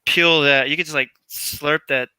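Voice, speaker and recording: A man from Tennessee, chatting on a video call in an animated, complaining way. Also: a little high, smooth, no room sound. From Tennessee, US South. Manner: animated, complaining, quick with laughs. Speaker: guest on a live chat. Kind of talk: casual group chat.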